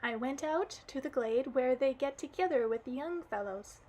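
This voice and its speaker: A woman's voice: normal speed, dark tone. A woman doing a tearful, wailing little girl. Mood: telling. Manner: high, sobbing, wailing delivery.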